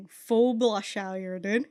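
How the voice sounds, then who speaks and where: A woman's voice: medium pitch, fairly even intonation, slow, a little mellow. A woman hosting a reaction video, commenting casually in slangy, sweary language.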